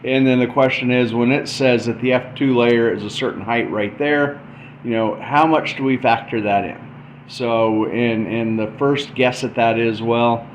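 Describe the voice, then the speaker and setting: A man giving an informal tutorial walkthrough, thinking aloud as he explains a demonstration. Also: mid-range voice. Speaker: explainer presenting a math and radio demo. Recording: dull lo-fi mic with faint background noise.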